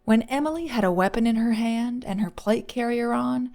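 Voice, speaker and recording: A woman's voice: a little high, normal speed, creaky, darkish tone, soft background music. A woman reading scripted third-person fiction as an audiobook narrator.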